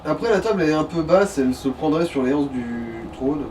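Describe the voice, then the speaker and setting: A man's voice: fairly high pitch, expressive, a little fast, a little mellow. A man banters casually as he comments on a video game, in an informal, slangy way. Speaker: let's play co-commentator. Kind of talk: casual gaming chat. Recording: distant mic with clear background noise.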